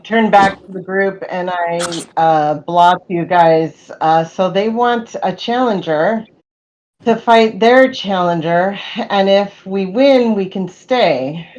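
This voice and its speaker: A woman's voice: medium pitch, slow pace, darkish tone, muffled recording. A woman playing a tabletop game, chatting casually and hesitantly over an online call.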